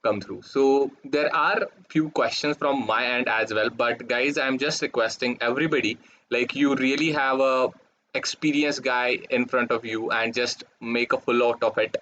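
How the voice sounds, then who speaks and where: A man hosting an online community event, speaking haltingly with many fillers. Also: mid-range voice, steady tone, fairly bright tone. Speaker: host. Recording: muffled call audio with faint noise.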